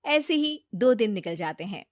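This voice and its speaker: A woman's voice: medium pitch, sing-song, normal speed, no room sound, clean studio sound. A woman narrating a scripted moral story as a storyteller.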